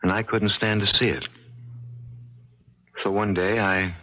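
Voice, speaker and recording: A man acting in an American 1970s radio drama as a strange, grieving older man, telling things slowly and deliberately with folksy certainty. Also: on the low side, flat delivery, pushed and bright, muffled recording. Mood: sorrowful. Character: strange, grieving older man guarding a dead sweetheart's memory.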